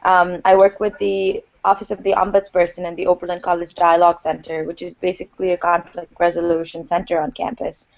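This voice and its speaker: Young woman, from Pakistan, student, cheerful, lowish voice, muffled call audio. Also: little pitch movement, fairly quick, vocal fry, hardly any pauses. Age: young adult. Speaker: student panelist. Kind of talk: casual self-introduction. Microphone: video call.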